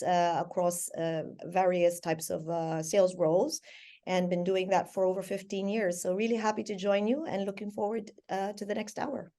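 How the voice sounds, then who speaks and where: A woman's voice: mid-range voice, warm tone. A woman from the Philippines speaking conversationally as a sales director on an online panel. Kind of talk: conversational self-introduction on webinar. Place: online video call.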